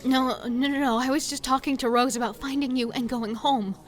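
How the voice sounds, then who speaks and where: A woman's voice: high-pitched, fairly even intonation, fairly quick, soft background music, lightly acted. A woman playing a confused, insistent, frightened sister who pleads urgently in an audio horror drama. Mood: frantic, distressed.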